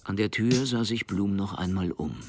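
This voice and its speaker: An actor narrating a hard-boiled radio crime drama in a very deep, creaky voice, measured and dry. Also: male voice, darkish tone, natural voice. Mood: dry, bleak. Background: music.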